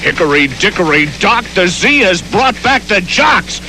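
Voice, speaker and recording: A man's voice: sits high, very animated, normal speed, creaky, sharp tone, soft background music. A man working as a radio news reporter, reading a playful scripted feature in a theatrical manner.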